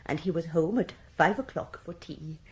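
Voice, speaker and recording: A woman's voice: low-pitched, normal speed, a little mellow. An actress playing a guarded, proper woman in a radio drama, answering in a formal, emphatic, clipped manner. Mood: firm, guarded.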